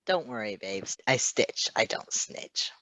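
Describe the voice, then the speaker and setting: A man's voice: lowish voice, lively intonation, takes it slowly, pushed and bright, dry recording, exaggerated voice. A man voicing a flippant, slangy male nurse in his thirties for a story reading, teasing and breezy with a drawl. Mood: wry. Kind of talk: audiobook-style character reading.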